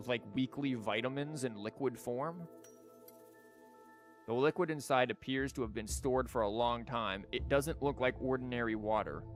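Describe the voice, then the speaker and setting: A young man streaming a story game live, swearing and making sarcastic jokes at the characters. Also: mid-range voice. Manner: profane, sarcastic joking at the game. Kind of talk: live gameplay commentary. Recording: faint game music behind.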